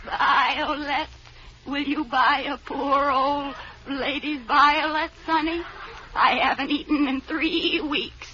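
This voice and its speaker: A woman's voice: high voice, fairly even intonation, slow pace, bright, forceful tone, full character voice. A woman putting on a pitiful, bleating old flower seller begging for coins, in old-time radio comedy style. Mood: pleading, grateful. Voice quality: bleating, quavery. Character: pitiful begging old lady selling violets. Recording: noisy, muffled old radio recording.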